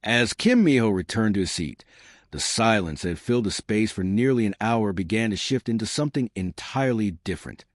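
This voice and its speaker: A man's voice: on the low side, expressive, brisk, no echo, studio quality. A man reading a scripted science fiction story as an audiobook-style narrator.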